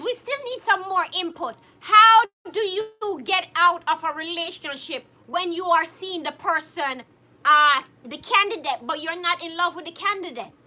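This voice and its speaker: A young woman with a very high voice and a bright, sharp tone hosts a relaxed live-stream chat. She reads out viewer comments. She laughs often, greets viewers by name, and keeps up an animated, chatty manner. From Jamaica. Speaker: co-host reading viewer comments.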